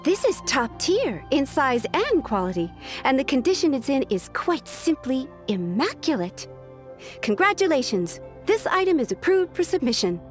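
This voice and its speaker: An officious young secretary in a fantasy game. Her high voice is lively and varied in pitch, with polite, businesslike wording. The sound is dry, with faint music behind. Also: moderate pace. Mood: approving. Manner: formal, businesslike, crisp wording. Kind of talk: animated game voice acting.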